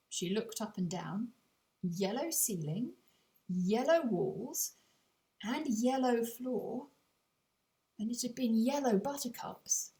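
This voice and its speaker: A woman reading a whimsical children's story aloud in an expressive storytelling manner, with dramatic pauses. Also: fairly high pitch, poor audio. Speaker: author reading their own audiobook.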